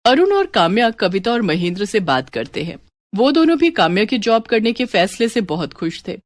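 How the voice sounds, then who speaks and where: A woman's voice: medium pitch, no echo. A woman reading a scripted story as its narrator.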